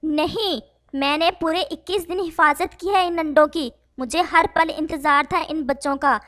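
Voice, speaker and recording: A woman's voice: sits high, fairly even intonation, measured pace, fairly bright tone, no echo, studio quality. A woman voicing an emotional, protective mother sparrow in a children's cartoon. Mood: defiant. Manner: emotional, pleading, emphatic. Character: protective, anguished mother sparrow, tender and defiant. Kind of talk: Hindi moral-story cartoon dubbing.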